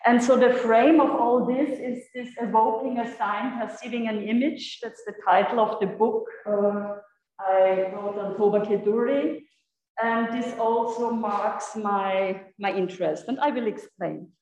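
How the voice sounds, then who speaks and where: A woman with a German accent gives an unscripted lecture in her second language. Her voice sits slightly high, and she halts often, reaching for words with little fillers. The room around her is echoey. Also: steady tone, darkish tone. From Europe. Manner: halting, searching for words, frequent fillers. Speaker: guest lecturer. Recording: echoey hall.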